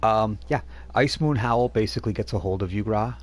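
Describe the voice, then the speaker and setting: A man's voice: on the low side, a little fast. A middle-aged man acting as game master, loose and chatty, full of fillers and restarts. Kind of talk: running a tabletop game over a live stream.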